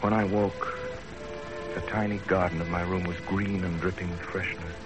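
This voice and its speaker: A man's voice: low voice, level tone, normal speed, lightly acted, poor audio. An actor playing an earnest young man in love, in a 1930s radio drama. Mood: wistful, reflective. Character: earnest lovesick young man narrating his romance. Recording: old 1930s radio recording with music.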